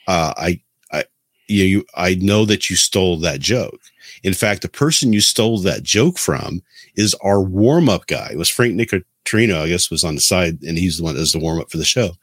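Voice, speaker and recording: A man co-hosting a podcast, explaining his points in a halting, conversational way. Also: sits low, a bit bright, dry recording. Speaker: podcast co-host. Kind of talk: casual podcast discussion.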